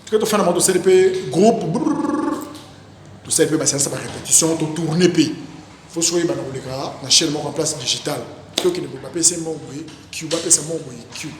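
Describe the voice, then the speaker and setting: A man with a Congolese accent, an actor being interviewed, talking casually in an animated, rambling way and repeating his phrases. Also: a little high, fairly quick, noisy background. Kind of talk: casual interview, recounting career. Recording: very echoey reverberant room, music behind. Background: music.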